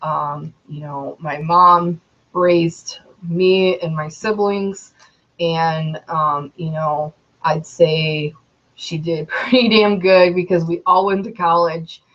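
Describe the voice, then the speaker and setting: Young woman, twenties, slow rambling vlog talk, lowish voice, dull lo-fi sound. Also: steady tone, fairly bright tone. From Metro Detroit, Michigan, USA. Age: 20s. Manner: rambling, many ums and you knows. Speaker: YouTuber.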